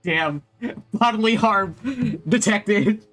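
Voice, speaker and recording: A woman's voice: mid-range voice, slow, a bit bright. A woman giving casual, rambling and chatty commentary while playing a video game as a streamer.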